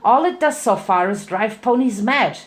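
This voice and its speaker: A woman's voice: fairly high pitch, animated, moderate pace, lightly acted. A woman voicing an apologetic female pony scientist in a defensive, pleading way. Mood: matter-of-fact.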